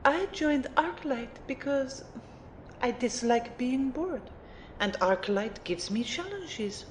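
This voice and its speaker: A woman's voice: sits high, animated, slow pace, slight background noise. A woman playing a haughty, cool-headed female mentor in an audio drama, speaking formally and precisely with condescension. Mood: dismissive, cool.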